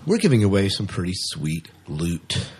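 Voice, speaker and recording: A man's voice: low-pitched, very animated, moderate pace, darkish tone, slightly rough. A man hosting a podcast in casual banter, chatty, jokey and enthusiastic, often repeating himself for emphasis. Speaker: podcast host.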